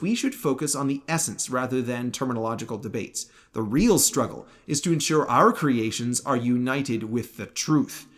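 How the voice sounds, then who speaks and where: Formal man reading a scripted essay. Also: medium pitch. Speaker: video essayist. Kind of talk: scripted narration, religious commentary.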